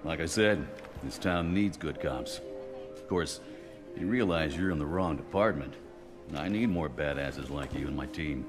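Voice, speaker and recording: A man's voice: very deep voice, normal speed. A man playing a tough, insistent police team leader with an American accent in a video game drama. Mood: blunt, persuasive. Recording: long reverb, music underneath.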